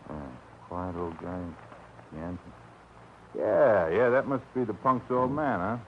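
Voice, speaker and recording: A red-faced gunman snarls pushy, tough-guy lines in a low voice. The recording is muffled and dull, with a faint hiss like old radio. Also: measured pace. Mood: threatening. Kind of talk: 1940s radio acting.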